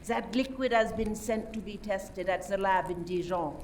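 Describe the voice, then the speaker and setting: An actress playing a suspicious detective with a deliberate, theatrical, probing manner in a radio play. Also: female voice, mid-range voice, natural voice. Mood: probing, skeptical. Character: suspicious French detective methodically questioning suspects. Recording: distant microphone, faint background noise.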